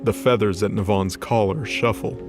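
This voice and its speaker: A man's voice: on the low side, fairly even intonation, normal speed. A man narrating a scripted dramatic fantasy story as its storyteller. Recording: music behind the voice. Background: music.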